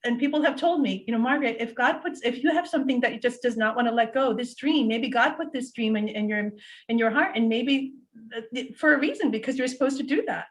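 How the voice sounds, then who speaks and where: A middle-aged woman whose first language is Portuguese, guest in a casual interview, telling her story in an animated way with many fillers. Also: a little high, quick pace.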